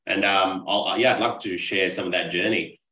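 A man's voice: sits low, steady tone, normal speed, sharp tone. A man from Pakistan, a business coach, telling his life story as a talkative, earnest podcast guest. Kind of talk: casual interview storytelling. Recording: roomy echo, distant mic.

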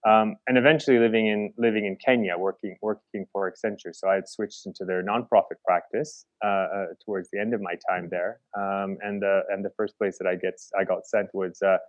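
A man from the Chicago area, a conservation founder answering interview questions in a halting way, with many restarts. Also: lowish voice, steady tone, no echo, dull audio. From Chicago, United States.

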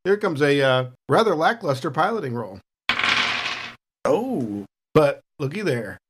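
A man talks as a player in a tabletop game, describing what his character does. His voice sits a little high and swings widely in pitch. He delivers it all with wry, dry understatement. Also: very animated, measured pace, very noisy. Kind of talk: narrating his character's actions in third person.

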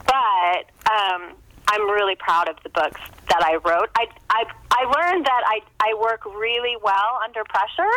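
Bright-voiced woman chatting casually. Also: mid-range voice, very animated, unhurried, no echo, rough recording. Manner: chatty, self-deprecating, often laughs as talking. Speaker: author being interviewed. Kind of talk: casual conversational interview. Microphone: phone call.

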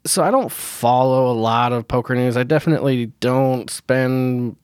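A man's voice: medium pitch, normal speed, over loud music. A man hosting a casual solo podcast, sounding nasal from a cold, blunt and opinionated and laughing often. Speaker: podcast host.

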